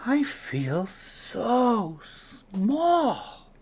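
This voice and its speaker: Man putting on a high-pitched tiny female snail. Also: wildly swooping pitch, darkish tone, husky, full character voice. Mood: awed. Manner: earnest, drawn-out exclamations. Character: tiny adventurous female sea snail, eager and plucky.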